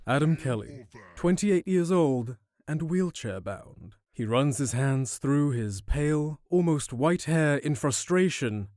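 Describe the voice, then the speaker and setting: A man narrating a scripted fantasy audio drama in a measured, dramatic storyteller manner. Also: on the high side, expressive, a little mellow, dry recording, studio quality. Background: music.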